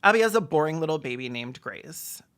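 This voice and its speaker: A snarky video essayist with a mid-pitched voice, doing comedic film commentary. The delivery is camp and deadpan, full of sarcastic asides. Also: fairly quick.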